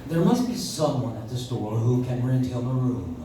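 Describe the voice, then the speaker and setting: A man playing an amiable, reassuring doctor with a measured, mild manner in a live radio play. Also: mid-range voice, lively intonation, close to an everyday voice. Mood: easygoing, persuasive. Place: live stage in a coffee house. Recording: echoey, distant audience recording, faint noise.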